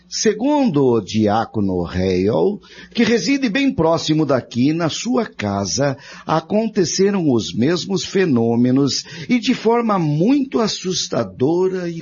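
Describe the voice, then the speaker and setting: An actor playing a worried Methodist minister in a radio drama, speaking gravely and emphatically. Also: male voice, on the high side, a little mellow, exaggerated voice. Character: worried Methodist minister confiding in a fellow pastor. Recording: dull lo-fi audio with hum and noise.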